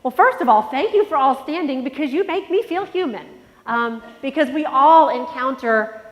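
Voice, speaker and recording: Nasal woman speaking in a reverberant hall. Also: sits high. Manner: personal storytelling, addresses the audience directly. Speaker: conference keynote speaker. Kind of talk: motivational speech. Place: large conference hall. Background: long reverb of a large hall.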